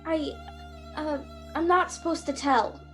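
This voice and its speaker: A woman plays a guardian angel with a very high voice, gentle and earnest. The delivery is that of an amateur radio drama, with music clearly present behind it. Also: unhurried. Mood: reluctant. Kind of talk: amateur radio play acting.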